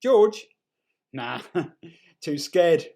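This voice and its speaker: A man's voice: on the high side, very animated, extremely slow, gravelly, mellow, voice pushed up, heavily acted. A man voicing a gauche, eager teenage boy in a story, blurting and cocky. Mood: cocky bluster. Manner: blurting, over-keen, cocky.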